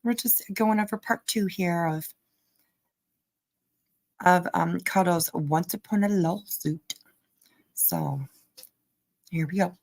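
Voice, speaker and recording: A woman giving casual, halting commentary as host of a livestream. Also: on the low side, darkish tone. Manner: halting, hesitant delivery with trailing asides. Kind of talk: casual livestream commentary over played clips.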